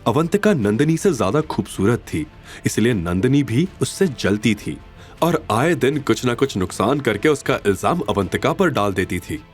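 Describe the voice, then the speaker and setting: A man narrating a scripted story in a dramatic, expressive and suspenseful manner. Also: mid-range voice, normal speed, faint music behind.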